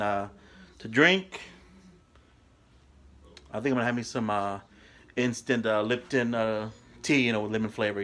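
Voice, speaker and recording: A man's voice: fairly low pitch, a bit bright. A middle-aged man talking casually to viewers while he cooks dinner in his home kitchen, filmed on a handheld phone. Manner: laid-back, chatty, talks to viewers as "guys". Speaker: home cooking vlogger. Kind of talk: casual cooking vlog. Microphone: phone camera held while cooking. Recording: phone recording with cooking noise. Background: frying sizzle and kitchen noise.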